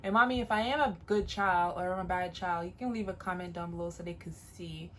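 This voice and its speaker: A woman in her late twenties, originally from Jamaica, talks to her viewers in a relaxed, encouraging way. She leans on repeated phrases to drive her points home, in a somewhat low voice. A faint hiss sits under the recording. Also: fast. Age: late 20s. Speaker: vlogger. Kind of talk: casual advice vlog.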